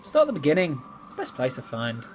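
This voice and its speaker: A man's voice: mid-range voice, wildly swooping pitch, quick pace, low-quality recording. A young man playing a friendly, helpful vicar's son in a radio drama, with a polite, slightly hesitant manner. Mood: friendly, doubtful. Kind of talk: amateur radio drama acting. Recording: faint background noise.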